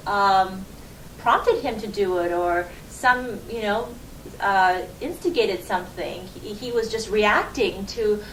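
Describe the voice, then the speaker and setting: Lady acting as a polite mom on a call, earnest, bit halting, far from the mic w faint noise. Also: mid-range voice, a bit bright. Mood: defensive. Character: courteous mother, protective of her child. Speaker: parent. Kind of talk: role-played phone call demonstration. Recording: echoey room, distant mic, faint noise.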